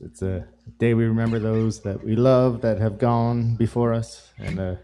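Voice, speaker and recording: A man chatting as a guest musician on a live show, his voice raspy and hoarse, relaxed and full of fillers. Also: mid-range voice, normal speed. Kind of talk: casual chat on a live show.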